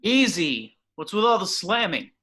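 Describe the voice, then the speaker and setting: A man's voice: high voice, big pitch swings, a little slow, bright, forceful tone, exaggerated voice. A man playing an easygoing, dismissive father of a young boy in an amateur screenplay read.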